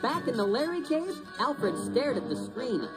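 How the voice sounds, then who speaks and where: Animated woman narrating a children's story. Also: fairly high pitch, unhurried, loud music behind the voice, low-quality recording.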